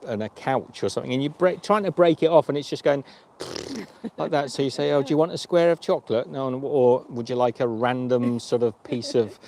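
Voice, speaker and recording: A man's voice: medium pitch, a little fast, vocal fry, darkish tone, slight background noise. A man from Australia chatting casually on a travel livestream, rambling and correcting himself. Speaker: vlog host.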